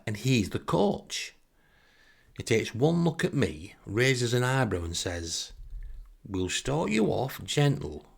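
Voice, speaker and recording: Gravelly, animated Northern English man. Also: deep voice. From Northern England. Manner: self-deprecating, wry. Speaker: comic storyteller. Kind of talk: humorous first-person anecdote.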